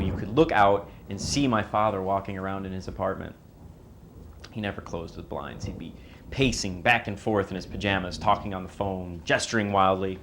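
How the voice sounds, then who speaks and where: A man playing an estranged son, talking in a casual, halting, reflective way in a conversational drama monologue. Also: low-pitched, vocal fry, slight background noise. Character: estranged son, wry and fond of his sister.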